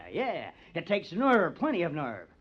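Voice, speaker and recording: A man's voice: fairly high pitch, wildly swooping pitch, slow pace, husky, heavily acted. An actor playing a cunning, bitter artist who frames his rival, with theatrical, taunting intensity, in old-time radio drama style. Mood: tense, daring. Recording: dull, lo-fi old radio recording.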